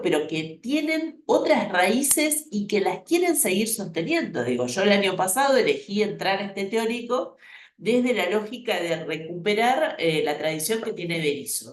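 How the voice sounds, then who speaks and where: A woman in her fifties from La Plata, Argentina, with a Rioplatense accent, giving a university lecture in a chatty way, full of fillers and lively rises. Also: lowish voice, reverberant. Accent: Rioplatense Argentine Spanish. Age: 50s. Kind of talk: lecture, thinking aloud.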